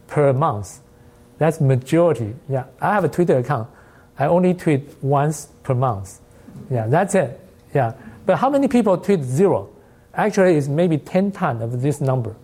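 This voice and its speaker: Mellow-voiced lecturer with a Taiwanese accent. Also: mid-range voice. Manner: non-native English with loose grammar, rhetorical questions. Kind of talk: informal academic presentation.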